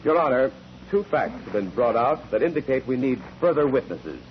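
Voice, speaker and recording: An actor playing a probing courtroom examiner in an old-time radio drama, deliberate, courteous and in command. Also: male voice, mid-range voice, big pitch swings, lightly acted. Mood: probing, controlled. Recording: noisy old radio recording.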